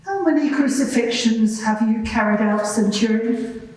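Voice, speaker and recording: A woman's voice: on the high side, measured pace, a little mellow, lightly acted. A woman playing a composed cross-examining lawyer, putting formal, pointed questions in a courtroom. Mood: probing. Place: large reverberant courtroom. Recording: very echoey hall, distant mic.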